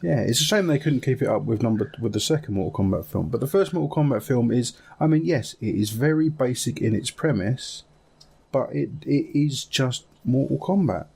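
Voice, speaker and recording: A man's voice: medium pitch, animated, normal speed, gravelly, darkish tone, breathy edge. A man chatting casually and enthusiastically as a podcast co-host, talking in a loose, conversational way. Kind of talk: casual chat about video game films.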